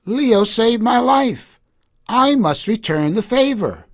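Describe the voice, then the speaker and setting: A man's voice: very high-pitched, animated, slow, voice pushed up, exaggerated voice. A man voicing a small, curious and brave female mouse in a storybook, with an earnest, pleading manner. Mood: earnest, determined.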